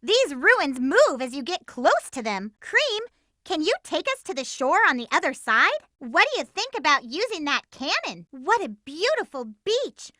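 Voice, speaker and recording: A woman's voice: high voice, sing-song, measured pace, bright, forceful tone, no room sound, clean studio sound, exaggerated voice. A woman voicing a spirited, lovestruck young girl hedgehog in a video game, feisty and bossy with a perky, emphatic delivery. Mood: curious, chatty. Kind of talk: video game character voice lines.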